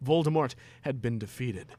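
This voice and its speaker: A man's voice: medium pitch, unhurried, warm tone, dry recording. A man narrating a comic story as a dramatic reading.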